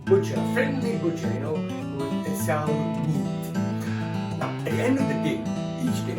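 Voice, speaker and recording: Playful storyteller man, lively pitch. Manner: lively and playful, leading the audience in movement games. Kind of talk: interactive storytelling for an audience. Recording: echoey room, distant mic, guitar music.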